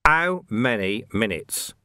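A man voicing a gruff, impatient city watch commander in an audiobook, blunt and demanding. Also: on the low side, big pitch swings, slow pace, sharp tone, no room sound, studio quality, exaggerated voice. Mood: grim. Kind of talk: audiobook character dialogue.

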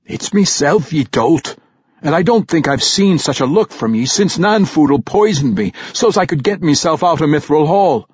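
Steadfast dwarf king played by a man, dwarvish brogue, firm, commanding, raised voice, husky. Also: on the high side, expressive, normal speed, no room sound, muffled recording, pitched above the performer's own voice. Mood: brusque.

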